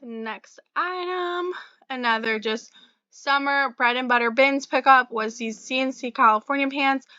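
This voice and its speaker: Young woman, bright tense voice, slightly raspy with a cold, chatty, dry dull recording. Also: sits high, a little slow, no echo. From Florida. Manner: chatty, friendly, many fillers and small laughs. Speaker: reseller vlogger. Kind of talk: casual talk to camera about sales.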